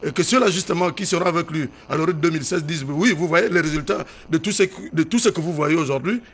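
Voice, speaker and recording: A man arguing on a televised political debate panel, loud and insistent, often repeating himself. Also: a little high, fast talker, slight background noise. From Gabon.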